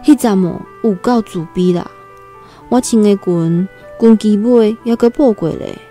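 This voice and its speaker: A woman's voice: medium pitch, a little slow, warm tone, soft background music, lightly acted. An actress with a Taiwanese accent playing a timid, earnest young country girl new to the city in a radio drama. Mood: dismayed. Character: timid nineteen-year-old country girl new in Taipei. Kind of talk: Taiwanese radio drama, first-person storytelling and dialogue.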